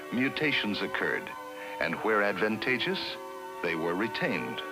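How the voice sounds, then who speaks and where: Lively 1960s educational film narrator. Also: male voice, lowish voice, poor audio. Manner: measured, explanatory.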